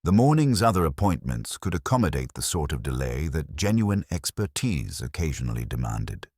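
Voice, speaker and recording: Male narrator, very low pitch, lively intonation, formal measured storytelling, dry close sound. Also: no echo. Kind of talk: scripted audiobook-style mystery narration.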